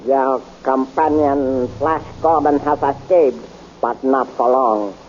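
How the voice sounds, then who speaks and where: An actor in a 1930s radio serial playing a cruel, haughty space emperor who gives commands coldly. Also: male voice, medium pitch, fairly even intonation, slow pace, a little mellow, a little hiss or hum, lightly acted. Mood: cold commanding. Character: cruel male tyrant emperor of a planet. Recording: dull old radio serial recording.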